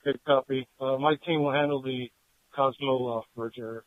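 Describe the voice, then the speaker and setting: A man's voice: medium pitch, steady tone, brisk, dry recording. A man speaking as a police team leader over a police radio. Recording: narrow, tinny police radio transmission.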